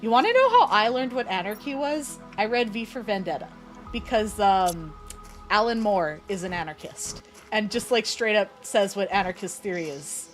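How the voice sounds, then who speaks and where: Animated woman, blunt and lively. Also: mid-range voice, moderate pace, faint music behind. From Canada. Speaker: co-host playing a game. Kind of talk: casual gaming chat.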